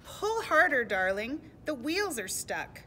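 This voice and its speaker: Lady doing a patient mama donkey for a kids story, bright voice, explains stuff firmly. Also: on the high side, big pitch swings, unhurried, a little hiss or hum. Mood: straining. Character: mother donkey, patient, steady, hardworking cart puller.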